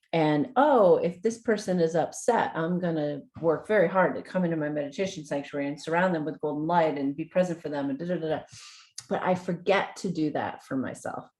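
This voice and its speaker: A woman with a notably low voice shares her thoughts in a workshop over a video call. She speaks thoughtfully and openly, with frequent pauses and fillers. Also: a little mellow. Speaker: workshop participant. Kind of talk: reflective sharing.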